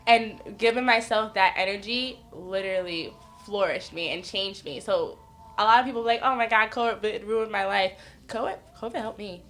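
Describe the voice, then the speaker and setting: A woman's voice: medium pitch, moderate pace, fairly bright tone, soft background music. A woman in her twenties from the northeastern United States, a podcast guest telling frank personal stories, laughing often and acting out what others said. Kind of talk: candid casual chat.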